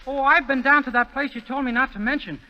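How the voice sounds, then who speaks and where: A man's voice: very high-pitched, fast. A man playing a naive, cheeky young singer who eagerly interrupts in 1940s radio comedy. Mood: guileless. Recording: muffled, noisy old radio recording.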